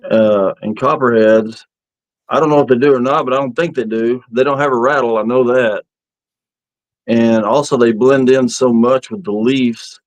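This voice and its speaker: A man's voice: medium pitch, fairly even intonation, normal speed, no echo, low-quality recording. A man in his fifties chatting casually on an outdoor live stream in a folksy, rambling storytelling way. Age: 50s. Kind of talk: casual live-stream chat about camping.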